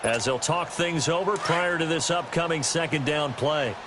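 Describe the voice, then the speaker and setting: A male actor playing a brisk, polished American play-by-play announcer in a football video game, calling the action in broadcast style. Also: a little high, background noise, lightly acted. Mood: brisk, focused.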